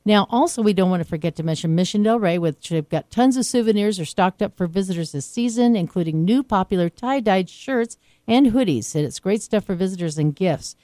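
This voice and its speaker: A woman's voice: medium pitch, lively intonation, brisk, mellow, dry recording, studio quality. A woman radio co-host reading sponsor announcements in a chatty way, stumbling over some words.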